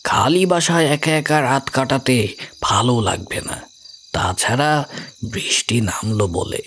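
A man playing a brilliant, calmly amused middle-aged sleuth who explains his reasoning in a measured way, in an audio story. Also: medium pitch, a little hiss or hum. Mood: friendly, explaining.

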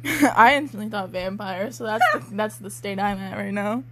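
A woman's voice: medium pitch, normal speed, heavy background noise. A young woman reading amateur fiction aloud casually as co-host, breaking in with wry, giggly, sweary asides. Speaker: co-host and reader.

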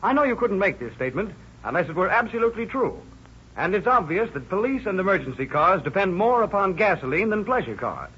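Earnest, husky high-voiced customer. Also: male voice, very animated, fairly quick, bright, forceful tone, exaggerated voice. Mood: earnest, convinced. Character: earnest newcomer from the East writing a testimonial. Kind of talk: 1930s radio advertising read. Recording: dull old radio recording.

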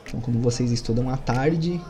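A man in the game master's chair tells the scene in a loose, rambling way, full of little fillers. His voice sits at an ordinary pitch, and soft music plays faintly behind him. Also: fast. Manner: relaxed, rambling narration with fillers. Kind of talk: narrating a tabletop horror game. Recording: music under the voice.

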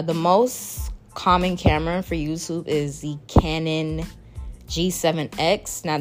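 A young woman with a Caribbean accent and a soothing voice, hosting a casual advice chat. She talks in a chatty, playful way, laughs often and jumps up in pitch to stress a point. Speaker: podcast host.

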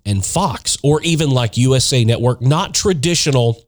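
A man's voice: mid-range voice, normal speed. A man hosting his own podcast in a chatty, upbeat way, talking through a stuffed-up, nasal voice from allergies. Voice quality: congested, nasal. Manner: chatty, upbeat, with frequent restarts. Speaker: podcast host. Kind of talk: casual solo podcast talk.

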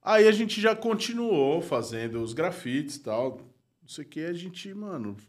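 A man's voice: on the high side, big pitch swings, normal speed. A man, a guest telling stories in casual chat, slangy, laughing often and dropping to a mutter. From Brazil. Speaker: guest graffiti artist.

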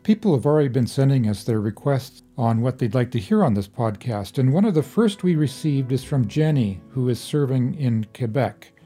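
A man's voice: mid-range voice, animated, moderate pace, hardly any pauses, mellow, breathy edge, soft background music. A man hosting a podcast, reading scripted introductions and announcements. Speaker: podcast host.

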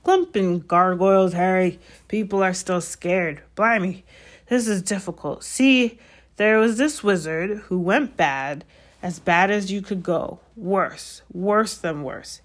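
A woman voicing a gruff but kindly giant man in a storybook, blunt and uneasy, with folksy clipped words. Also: mid-range voice, slow pace, lightly acted. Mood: grave, uneasy. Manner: folksy, dropped-consonant dialect speech. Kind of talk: storybook character voice.

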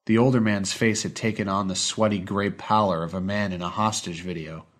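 A man's voice: fairly low pitch, steady tone, brisk. A man reading a scripted short story as an audiobook narrator.

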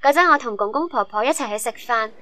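High-voiced young Hong Kong student, played by an actress. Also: female voice, lively intonation, quick pace, pushed and bright. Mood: cheerful. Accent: Hong Kong Cantonese. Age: young adult. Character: caring, chatty young female student abroad. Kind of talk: radio drama acting.

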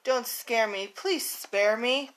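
Een nerveuze, verontschuldigende olifant uit een prentenboek, voorgelezen door een vrouw. De stem klinkt hoog, helder en traag, met een zeurderig, verontschuldigend rijmritme. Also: female voice, a little high, slow, a bit bright, a little hiss or hum. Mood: frightened. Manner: apologetic, fussy rhyming speech. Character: sorry, sneezy male elephant.

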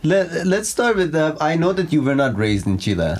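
A man's voice: on the high side, sing-song, moderate pace. A man hosting a podcast interview, talking casually in a rambling way with many fillers and easy laughs. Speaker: podcast host.

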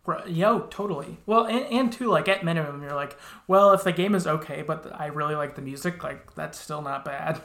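A woman's voice: sits low, fairly quick, creaky, hardly any pauses, a bit bright. A woman in a casual game review chat, speaking in an agreeable, tentative, conversational way. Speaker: podcast co-host discussing video games. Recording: roomy, echoing sound.

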